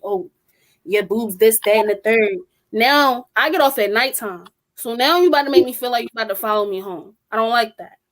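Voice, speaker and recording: Blunt young woman speaking her mind. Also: a little high. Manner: blunt, emphatic, strong-willed. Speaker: podcast co-host. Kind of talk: casual group discussion.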